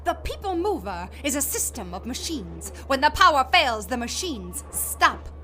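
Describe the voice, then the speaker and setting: A woman playing a haughty, combative transit director, condescending and sharp-tongued, in a comedic audio drama. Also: high voice, big pitch swings, normal speed, soft background music, exaggerated voice.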